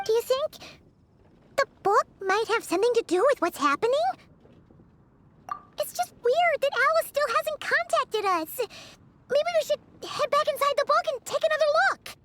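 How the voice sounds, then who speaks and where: A woman voicing a small, girlish, excitable fairy companion in a video game, with a childlike squeaky voice. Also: normal speed, slight background noise. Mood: worried, puzzled. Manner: animated, expressive. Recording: background music under voice.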